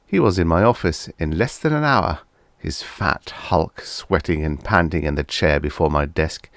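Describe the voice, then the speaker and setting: A man's voice: low-pitched, no echo, clean studio sound. A man reading vintage fiction aloud as an audiobook narrator, in a scripted storytelling style.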